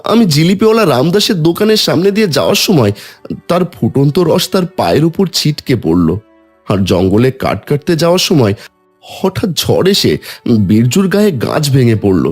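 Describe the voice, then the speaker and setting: A man's voice: a little high, big pitch swings, moderate pace, a little mellow, slightly rough, soft background music, voice pushed up. A man voicing a mild, downhearted, unlucky woodcutter in a cartoon story, sounding plaintive. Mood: dejected.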